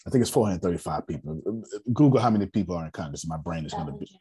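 A man in his forties with a raspy voice, hosting a casual group meeting and lecturing in a loose, talkative way. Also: low voice, lively intonation, rapid-fire, dark tone. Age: 40s. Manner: loose, talkative, laughing asides. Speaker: meeting host.

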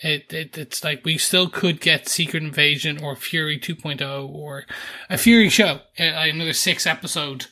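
A man's voice: on the high side, normal speed, bright, forceful tone. A man co-hosting a podcast, chatting casually about a TV show and reading out listener mail, sometimes repeating words as he thinks. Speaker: podcast co-host. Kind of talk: casual TV recap chat, reading listener email.

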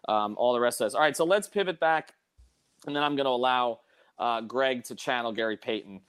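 A man's voice: medium pitch, a little fast, a bit bright, clear, resonant tone. A man hosting a casual sports panel discussion, repeating and restarting phrases for emphasis. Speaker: host.

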